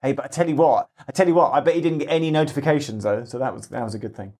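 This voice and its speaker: A man's voice: fairly high pitch, very fast. A man hosting a podcast in a casual, questioning, conversational way. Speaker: podcast host. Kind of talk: casual panel chat.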